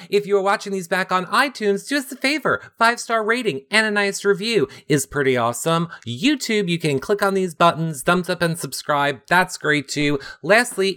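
A man hosting a casual recap show, playful and animated, with sing-song asides. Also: sits high, clear, resonant tone. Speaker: podcast host.

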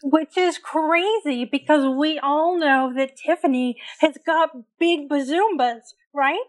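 A woman co-hosting a casual recap show, speaking with a hoarse voice, chatty and opinionated. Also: sits high, takes it slowly. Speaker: co-host.

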